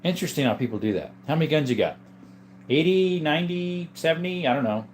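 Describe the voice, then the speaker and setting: A man hosting a casual livestream from a room full of guns, reading viewer comments aloud in a relaxed, joking way. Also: fairly high pitch, fast, slight background noise. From Arizona. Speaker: livestream host reading chat. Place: indoors, room with guns on display.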